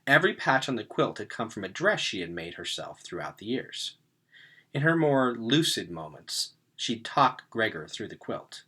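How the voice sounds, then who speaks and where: A man reading a story aloud as narrator, in an expressive way with dramatic emphasis. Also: fairly low pitch, moderate pace, slightly rough. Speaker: read-aloud reader.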